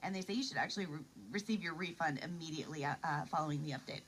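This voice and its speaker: A woman's voice: deep voice, racing. An American woman co-hosting a morning radio show, giving news items in a conversational way. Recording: noisy recording of radio playback.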